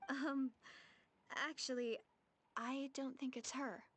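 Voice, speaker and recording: A woman's voice: high-pitched, level tone, takes it slowly, husky, dry recording, exaggerated voice. An actress playing a devout young village woman in a video game, speaking earnestly and a little hesitantly.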